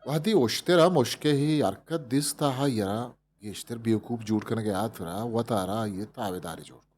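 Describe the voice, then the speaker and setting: A man with a Balochi accent reading a fable as a storyteller, in an expressive scripted narration. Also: medium pitch, normal speed. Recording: music behind the voice. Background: instrumental music.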